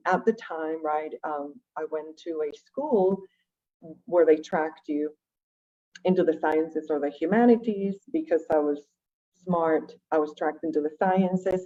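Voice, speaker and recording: A woman from Venezuela with a low, mellow voice speaks reflectively in English, her second language after Spanish. She tells personal stories in a conversational way, posing questions as she goes. The audio is dull and lo-fi. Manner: reflective, with rhetorical questions and tag "right?". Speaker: guest, education nonprofit director.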